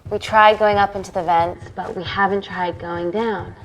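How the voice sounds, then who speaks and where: A woman's voice: medium pitch, steady tone, normal speed, close to an everyday voice, poor audio. Actress as serious, determined woman in a drama. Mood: focused. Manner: serious, no-nonsense. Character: determined, earnest woman scientist named Amy, quietly hurt. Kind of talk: naturalistic film drama acting.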